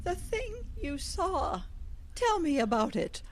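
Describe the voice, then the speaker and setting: An actress playing an imperious, sceptical, sharp-tongued woman in a horror audio drama, with a commanding, clipped manner. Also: extremely high pitch, sing-song, slow, a little hiss or hum, heavily acted. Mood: intent.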